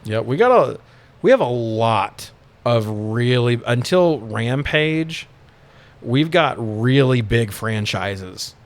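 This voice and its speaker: A man with a clean, resonant voice at an average male pitch. He co-hosts a podcast and talks in an easygoing, conversational way. His wording is informal, with the odd swear word. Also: lively intonation, takes it slowly. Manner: informal, occasionally profane. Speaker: podcast co-host. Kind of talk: casual podcast chat.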